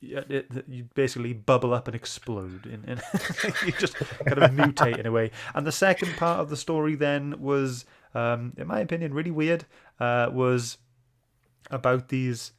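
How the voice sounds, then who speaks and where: A man's voice: mid-range voice, moderate pace. Casual man hosting a chatty livestream. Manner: informal, chatty, greets chat viewers by name. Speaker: host. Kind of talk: casual livestream book club chat.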